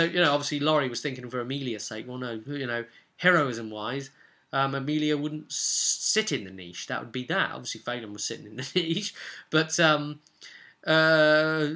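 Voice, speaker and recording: A man's voice: mid-range voice, moderate pace, sharp tone. A man speaking as a game master, recounting play informally and explaining with emphatic, repeated phrases. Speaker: game master recounting his tabletop session.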